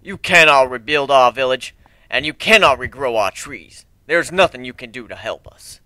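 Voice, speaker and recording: Man voicing a proud, forceful growling panther chieftain. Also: medium pitch, animated, normal speed, no echo. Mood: bitter. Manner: formal, terse, growling.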